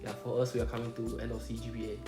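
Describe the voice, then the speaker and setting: A man's voice: mid-range voice, completely flat delivery, racing, roomy sound, music in the background. A young man with a Malaysian English accent, a Chinese speaker from home, explaining things in casual chat as a podcast co-host, ending phrases with "lah" and "right?".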